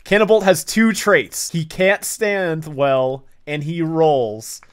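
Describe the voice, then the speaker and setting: A man hosting casual, joking reaction commentary in an animated, sweary manner. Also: a little high, slow, clear, resonant tone. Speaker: host.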